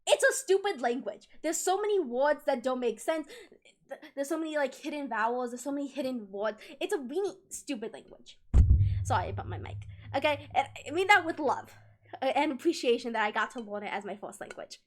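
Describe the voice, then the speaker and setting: A woman's voice: sits high, expressive, fairly quick. A young woman streaming live, chatting casually with viewers, laughing often and restarting her sentences. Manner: giggly and emotional, laughing through words, restarts often.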